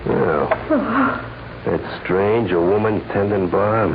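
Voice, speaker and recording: Casting: smooth, deceptively polite radio gangster, low register, hissy vintage recording. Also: male voice, fairly even intonation, takes it slowly. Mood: coolly menacing. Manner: habitual nervous throat-clearing, smooth menacing politeness. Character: cunning, smooth-talking gunman, slight dandy and ladies' man. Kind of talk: 1940s radio crime acting. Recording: muffled, noisy 1940s radio recording.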